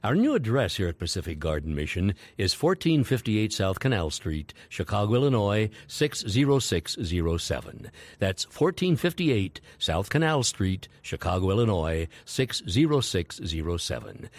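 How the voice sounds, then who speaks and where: A man with an American accent reading a scripted announcement, enunciating clearly and deliberately. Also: lowish voice, big pitch swings, dry recording. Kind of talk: scripted contact-information announcement.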